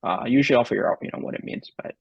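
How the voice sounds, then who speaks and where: A man speaking as an interview guest in a casual, halting way, full of ums and likes. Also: medium pitch, creaky, husky, dry recording, lo-fi sound. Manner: halting, filler-heavy, lots of "like" and "um". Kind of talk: casual conversation.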